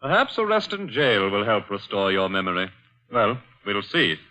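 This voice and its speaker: An actor playing a blunt, matter-of-fact police chief in a 1940s radio drama. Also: male voice, on the low side, very animated, moderate pace, sharp tone. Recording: dull old radio broadcast.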